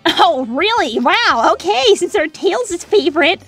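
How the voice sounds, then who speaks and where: Peppy dramatic cartoon hedgehog girl, super high n all over the place, bit jealous. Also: sing-song, unhurried, a bit bright, faint music behind, exaggerated voice. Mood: hurt, jealous. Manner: peppy, girlish, dramatic, sarcastic when put out. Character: excitable, easily jealous young female cartoon hedgehog. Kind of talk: animated character Q&A, fan dub.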